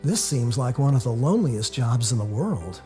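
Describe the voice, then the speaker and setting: A man reading a novel aloud as a first-person dramatic narrator, in a confessional, expressive storytelling manner. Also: mid-range voice, moderate pace. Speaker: author reading their own novel. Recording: music under the voice. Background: music.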